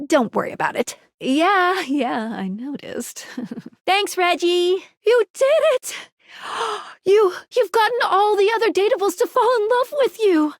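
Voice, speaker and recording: High, perky, geeky game girl. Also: dry recording, clean studio sound, exaggerated voice. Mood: flustered. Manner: peppy, quirky, slangy, stammers when flustered. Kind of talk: animated video game character dialogue.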